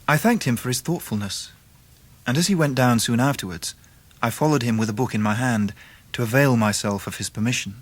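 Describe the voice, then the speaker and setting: An actor playing a grown man who narrates his boyhood memories in a radio drama, measured and literary. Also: medium pitch, dry recording, lightly acted. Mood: reflective. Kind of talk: scripted first-person narration in radio drama.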